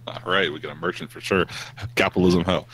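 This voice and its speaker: Deep-voiced wry male player. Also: steady tone, fast, a bit bright, slightly rough, rough recording. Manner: wry, talkative. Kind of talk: casual chat in a tabletop game.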